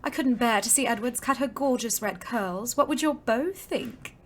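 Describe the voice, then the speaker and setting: Prim, highish-voiced young woman. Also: moderate pace. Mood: shocked. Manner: prissy, aghast. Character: prim, vain, easily shocked young woman. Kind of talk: period audio drama acting.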